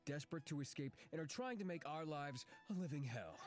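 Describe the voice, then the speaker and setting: A man voicing a gruff, sceptical young man in a visual novel, blunt and sardonic. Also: a little high, animated, a little fast, lightly acted. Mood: sceptical, stern. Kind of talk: visual novel character reading. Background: music.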